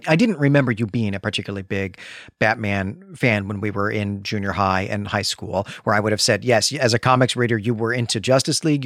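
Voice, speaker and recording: A man's voice: mid-range voice, fairly bright tone, no room sound, studio quality. A man co-hosting a podcast in casual conversation, with a wry, lightly teasing way of talking. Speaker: podcast co-host.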